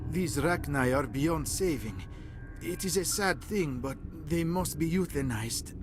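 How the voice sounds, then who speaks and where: An actor playing an earnest, regretful foreign research scientist in a video game, with formal, halting non-native phrasing. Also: male voice, a little high, animated. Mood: grave, regretful. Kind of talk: scripted video game dialogue. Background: loud game music.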